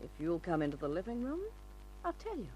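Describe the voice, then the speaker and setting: Femme fatale: medium pitch, expressive range, cool and wary, faintly humming vintage radio recording. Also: female voice, fairly quick, slight background noise, poor audio. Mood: cool, evasive. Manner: curt, wary, poised. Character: cool, guarded femme fatale brunette woman. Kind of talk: old-time radio crime drama acting.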